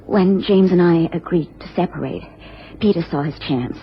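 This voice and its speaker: A woman's voice: fairly low pitch, normal speed, lightly acted. A woman playing an anxious, genteel American wife in a radio drama, speaking formally and earnestly with careful phrasing. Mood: worried. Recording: dull, noisy old radio recording.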